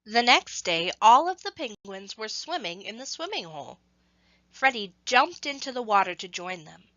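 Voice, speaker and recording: A woman reading a scripted story to children, with expressive, measured phrasing and emphasis on action words. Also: on the high side, no room sound, lo-fi sound.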